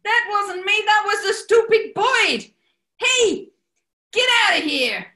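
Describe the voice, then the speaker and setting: A woman's voice: very high voice, sing-song, takes it slowly, sharp tone, heavily acted. A woman playing a brash, scheming male gangster boss with an American accent in a 1940s-style radio play, wisecracking and bossy. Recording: roomy echo.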